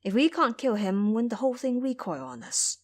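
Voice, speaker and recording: A woman's voice: fairly high pitch, big pitch swings, moderate pace. A woman playing a cunning, calculating junior male monk who speaks persuasively in an audio drama.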